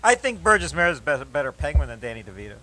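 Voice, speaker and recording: A man's voice: fairly high pitch, big pitch swings, rapid-fire, pushed and bright. A man co-hosting a talk show, rambling and laughing between lines. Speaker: co-host. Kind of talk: casual banter.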